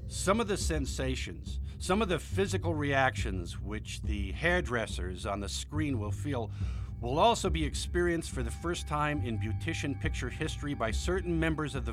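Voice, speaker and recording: A man playing a pompous, theatrical old-time horror showman narrating a spoof movie trailer. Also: fairly high pitch.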